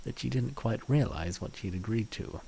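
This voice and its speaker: A man's voice: mid-range voice, a little fast, creaky, warm tone, slight background noise, low-quality recording. A man reading scripted story narration as the narrator of a comic dub.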